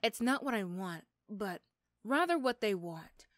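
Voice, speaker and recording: A woman's voice: medium pitch, animated, unhurried, no echo, natural voice. A woman playing a mean but grudgingly sympathetic supervillain in an ASMR roleplay, with a dry, teasing, condescending manner. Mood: wry. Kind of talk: ASMR roleplay character acting.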